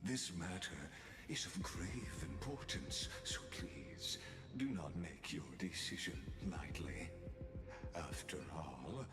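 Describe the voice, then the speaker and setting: An actor voicing a grave, menacing male villain of cosmic power in a video game, speaking in a deliberate, formal, condescending way. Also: deep voice, lively intonation, echoey room. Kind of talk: dramatic video game dialogue. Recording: game audio under loud music.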